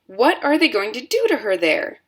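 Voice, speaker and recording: A woman voicing a panicked middle-aged mother who screams in a high, shrill voice. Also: sing-song, moderate pace.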